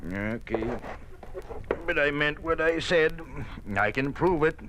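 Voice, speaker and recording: An actor playing an affectionate, folksy husband of almost seventy in an old-time radio drama, sentimental and a little stammering. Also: male voice, a little high, slow. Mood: playful, affectionate. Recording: old radio recording, faint noise.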